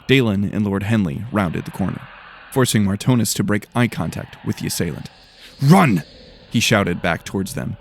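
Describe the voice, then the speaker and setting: A man's voice: lowish voice, gravelly, slight background noise. A man narrating a scripted fantasy story in a dramatic storytelling style.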